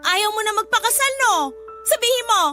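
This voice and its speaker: A woman's voice: very high voice, brisk, pushed and bright, faint music behind. An actress playing an unstable, distraught young mother and jilted bride in a Tagalog radio drama, overwrought and pleading. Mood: manic, needy.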